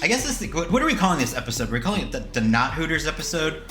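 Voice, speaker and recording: Bright-voiced man hosting, echoey room. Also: a little high, big pitch swings, racing, faint music behind. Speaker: podcast host. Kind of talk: casual chat.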